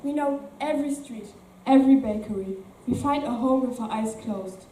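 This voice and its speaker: A woman's voice: fairly high pitch, moderate pace, warm tone, close to an everyday voice. A woman voicing the older generation. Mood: declaiming. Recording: echoey room from far off, faint noise.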